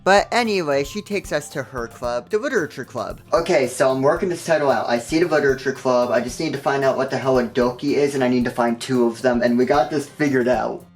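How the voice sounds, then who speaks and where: Male game reviewer, very lively pitch, sarcastic comedic narration over background music. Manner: sarcastic, joking asides.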